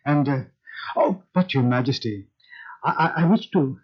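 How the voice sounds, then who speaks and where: An actor playing a nervous, obsequious royal courtier who stammers, in an old radio drama. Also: male voice, mid-range voice, normal speed, slightly rough, lightly acted. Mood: fawning. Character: fawning male courtier, nervous and eager. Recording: dull lo-fi old recording.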